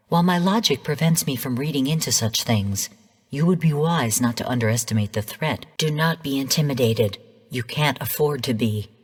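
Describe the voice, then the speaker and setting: An actress playing a composed, coolly commanding artificial intelligence who guides missions in a video game, speaking in formal, measured briefings. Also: female voice, a bass voice, darkish tone, slightly rough, big-room sound.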